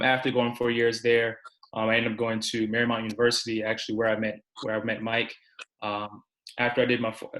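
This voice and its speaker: Young male guest from Maryland on a video call, flat even delivery, relaxed, filler-heavy. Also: fairly low pitch, poor audio. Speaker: basketball coach, guest. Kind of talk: casual interview answers.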